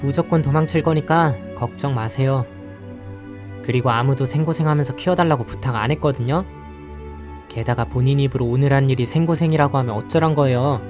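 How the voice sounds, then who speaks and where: A man's voice: mid-range voice, brisk, close to an everyday voice. A man voicing a grumbling, sarcastic young junior exorcist in a visual novel drama, politely talking back with a dry manner. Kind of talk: dramatic character dialogue. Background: music.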